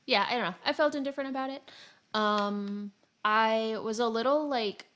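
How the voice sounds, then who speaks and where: A woman's voice: a little high, moderate pace, a bit bright. A woman chatting casually and informally about video games as a podcast co-host, rambling and self-deprecating.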